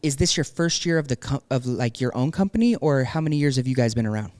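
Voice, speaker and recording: A man's voice: medium pitch, lively intonation, fast talker, a little mellow, no echo. A man hosting a podcast, asking casual, slangy questions with some "um" fillers. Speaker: podcast host. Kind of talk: casual interview chat. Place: podcast studio. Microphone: podcast microphone.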